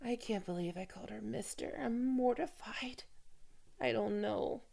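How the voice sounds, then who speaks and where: A woman acts out an awkward, smitten female team leader in an audio roleplay. Her speech is slow and halting, full of stammers and apologies. Her voice often crackles with vocal fry. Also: fairly high pitch, a little mellow, exaggerated voice. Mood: mortified, confiding. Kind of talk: ASMR roleplay acting, one-sided dialogue.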